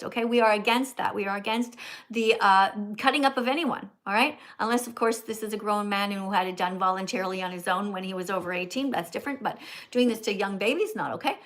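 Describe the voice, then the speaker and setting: A woman's voice: a little high, fairly bright tone. An older woman hosting a casual livestream, chatty and teacherly, often checking in with "okay?" as she talks to callers and chat. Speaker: livestream host.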